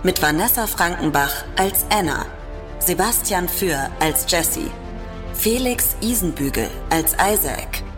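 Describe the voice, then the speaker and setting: A woman's voice: on the low side, lively intonation, slow pace, music in the background. A woman reading scripted podcast credits as an announcer.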